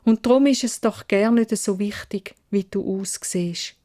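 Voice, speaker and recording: A woman's voice: medium pitch, unhurried, mellow, lightly acted. A Swiss German woman voicing a kind, honest, dutiful young beauty in a storybook fairy tale, speaking earnestly. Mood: timid, kind. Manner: earnest, emphatic.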